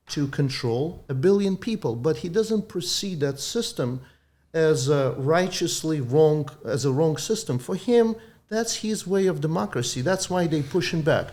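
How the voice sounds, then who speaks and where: A man from Ukraine whose first language is Russian, a doctor guest explaining things earnestly in slightly halting English. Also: fairly high pitch, expressive, a little mellow. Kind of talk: interview conversation.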